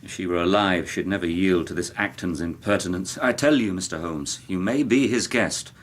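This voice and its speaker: Deep-voiced, haughty young squire. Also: male voice, moderate pace. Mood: impatient. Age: young adult. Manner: smooth talk with barbed sarcasm. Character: arrogant, sardonic young country squire's son. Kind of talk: radio drama acting.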